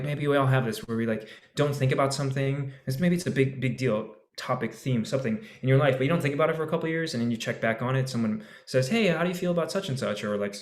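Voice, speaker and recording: A man, an actor, talking on a discussion panel, reflecting slowly with many restarts and searches for words. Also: mid-range voice, echoey room. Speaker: actor in the play.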